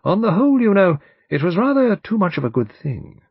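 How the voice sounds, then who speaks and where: A man voicing a thin, precise English barrister in an audiobook, quietly persistent, reflective and dry. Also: high-pitched, big pitch swings, fairly quick, breathy edge, dry recording, highs cut off, pitched above the performer's own voice, exaggerated voice. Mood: pointed. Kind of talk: audiobook character dialogue.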